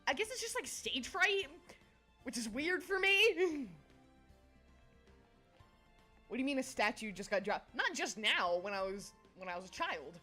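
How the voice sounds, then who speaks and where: A woman's voice: sits high, big pitch swings, normal speed, sharp tone, soft background music. A young adult woman streaming, chatting casually with viewers, giggly and scatterbrained, jumping between topics. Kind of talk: casual livestream chat.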